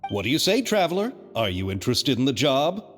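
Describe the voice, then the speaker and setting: An actor playing a polite, flustered film producer in a video game, stammering and effusive. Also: male voice, mid-range voice, big pitch swings, brisk, faint music behind, close to an everyday voice. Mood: earnest, polite. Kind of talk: video game character acting.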